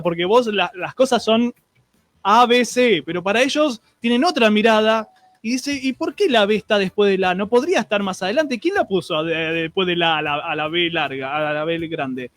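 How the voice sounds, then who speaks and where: A man's voice: high voice, moderate pace, fairly bright tone, dry recording. A man with an Argentine accent hosting a streamed radio show, chatting and greeting listeners with many restarts and fillers.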